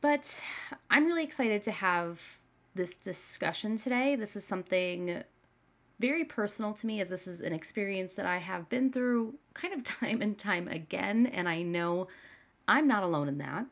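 A woman's voice: mid-range voice, lively intonation, moderate pace, a bit bright. Young woman host, slightly nasal from a cold, earnest conversational delivery. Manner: earnest, conversational, with frequent "right?" and "you know". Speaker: podcast host. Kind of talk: casual solo podcast talk.

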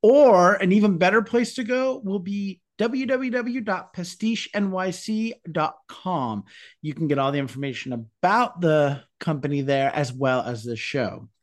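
A man's voice: high voice, animated, unhurried. A man hosting a podcast, introducing and interviewing a guest in an effusive, courteous way. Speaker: podcast host. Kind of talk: upbeat scripted introduction and interviewing.

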